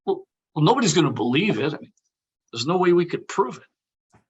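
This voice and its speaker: A man's voice: on the high side, very animated, fairly quick, breathy edge, dull audio. A man playing a casual, evasive buddy in a script table read. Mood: uneasy, casual. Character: casual, evasive male buddy with a dark streak.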